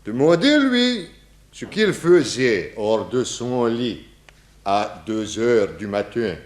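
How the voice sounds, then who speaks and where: A man's voice: medium pitch, sing-song. An actor playing an eccentric, philosophical Russian émigré with a Russian accent, grandiloquent and cryptic, dropping his articles in a 1960s French radio crime drama. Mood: conspiratorial, dramatic. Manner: slow, grandiloquent, broken grammar with dropped articles.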